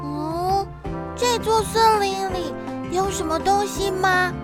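A woman playing Darkness as a lonely, timid child in a children's radio drama, plaintive and wide-eyed with drawn-out exclamations. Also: extremely high pitch, over loud music. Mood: curious.

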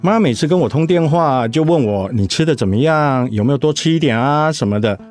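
A man's voice: on the high side, expressive, brisk, a little mellow, soft background music, close to an everyday voice. A man playing a doting husband, lightish voice. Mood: caring. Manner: affectionate, coaxing. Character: tender, doting young husband fussing over his wife. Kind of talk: radio drama acting.

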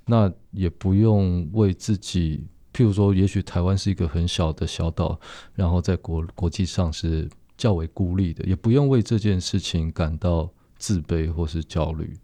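A man's voice: low voice, steady tone, moderate pace, warm tone, no room sound. A man with a Taiwanese Mandarin accent chatting reflectively and earnestly, feeling his way through his phrases. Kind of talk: casual reflective chat.

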